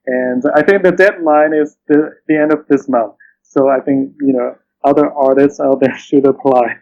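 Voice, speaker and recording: Halting, thoughtful man, dull lo-fi recording. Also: mid-range voice, fairly even intonation, a little mellow. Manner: halting, thoughtful, often says "you know". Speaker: interview guest, literary translator. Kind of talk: reflective interview conversation.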